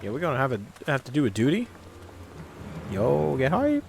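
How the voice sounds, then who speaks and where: A man's voice: mid-range voice, huge pitch swings, moderate pace, gravelly, darkish tone. A man chatting casually on a live game stream, self-deprecating and prone to swearing. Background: music.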